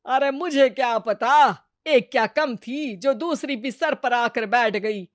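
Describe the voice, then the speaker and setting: Middle-aged mother-in-law, acted by a woman, sarcastic, exasperated, storybook family drama, fairly high, close dry sound. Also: slow pace, dry recording. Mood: irritated.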